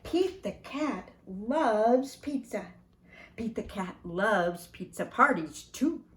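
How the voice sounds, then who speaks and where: An older woman reading a picture book aloud to young children in an animated, sing-song way, speaking right to them. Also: medium pitch, takes it slowly, reverberant. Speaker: preschool staff storyteller reading to children.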